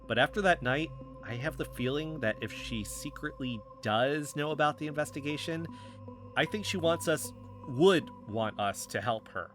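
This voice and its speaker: An actor playing an earnest, defensive amateur investigator in a naturalistic fiction podcast, speaking insistently as if in real conversation. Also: male voice, a little high, expressive, moderate pace, fairly bright tone, soft background music.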